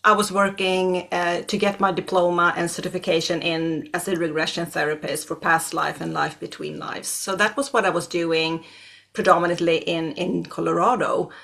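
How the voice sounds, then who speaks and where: A woman's voice: on the low side, gravelly, fairly bright tone. A middle-aged Swedish woman with a Swedish accent, a native Swedish speaker, speaking as an astrologer guest in an interview, hesitant with many fillers and restarts. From Sweden. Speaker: astrologer and regression therapist guest. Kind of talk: conversational interview.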